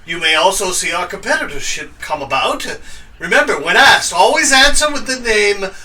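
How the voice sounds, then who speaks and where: A man playing an excitable, falsely jolly cruise captain in a fantasy audio drama. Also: high voice, normal speed, far from the mic, exaggerated voice. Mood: brisk, showy. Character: excitable, scheming host captain of a pleasure cruise.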